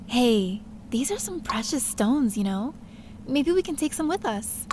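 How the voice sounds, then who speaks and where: A woman's voice: on the high side, sing-song, a little slow, background noise. A woman playing a curious, sheepish young adventurer who narrates her own story in an audio drama. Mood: eager. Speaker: lead character and narrator. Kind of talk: first-person scripted story narration with dialogue.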